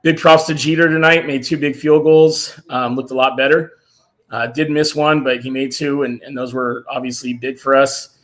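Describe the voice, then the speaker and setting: A man hosting a casual fan live stream, excited and a little hoarse from cheering, reading out viewer comments. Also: medium pitch, moderate pace. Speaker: fan show host.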